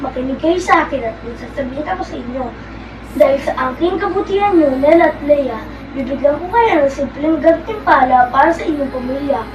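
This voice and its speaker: A woman's voice: sits high, a little fast, close to an everyday voice. A girl doing a kindly old beggar. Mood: gentle. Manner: gentle, wise, lecturing. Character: kindly old beggar man who turns prince. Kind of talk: school puppet show acting. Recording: distant echoey lo-fi with loud background noise.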